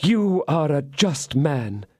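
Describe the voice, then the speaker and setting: A man's voice: fairly high pitch, very animated, takes it slowly, mellow, dry recording, studio quality, pitched above the performer's own voice, exaggerated voice. A man doing a pleading tearful noblewoman prisoner. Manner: pleading, sobbing, theatrical. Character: scheming woman prisoner feigning pious despair.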